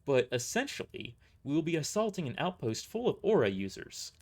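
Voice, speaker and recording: A man's voice: fairly high pitch, big pitch swings, fairly quick, a little mellow, pitched above the performer's own voice. Man playing a cocky, taunting young woman mercenary. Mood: businesslike. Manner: taunting, smug, blunt.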